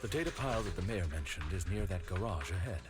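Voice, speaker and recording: Game butler type: very low voice, polite and composed, clear music underneath. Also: male voice, lively intonation, quick pace. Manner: polite, formal. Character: courteous, composed male attendant and protector.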